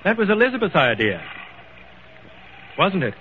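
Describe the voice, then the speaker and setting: A man's voice: high voice, very animated, moderate pace. An actor playing a proud ship owner who is losing his sight and hides it, hesitant and stammering, in old-time radio drama style. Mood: hearty bluffing. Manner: hesitant, stammering, self-deprecating. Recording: tinny, noisy old radio broadcast.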